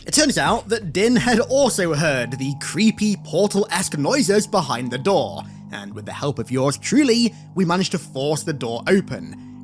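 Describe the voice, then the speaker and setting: Guy doing energetic game lore recap, voice swings up and down, bit of music behind him. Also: on the high side. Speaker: YouTube host recapping video game story. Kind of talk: energetic scripted video commentary.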